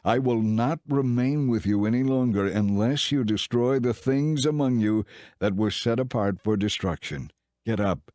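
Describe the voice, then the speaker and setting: A man's voice: mid-range voice, moderate pace, mellow, no echo, clean studio sound, lightly acted. A man voicing God in a dramatized scripture audiobook, stern, solemn and commanding as he pronounces judgment.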